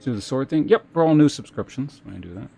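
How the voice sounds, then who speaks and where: A man hosting a livestream, chatting casually and reading aloud in a halting, measured way. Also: medium pitch, animated, gravelly, mellow, breathy edge. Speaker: live streamer host. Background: music.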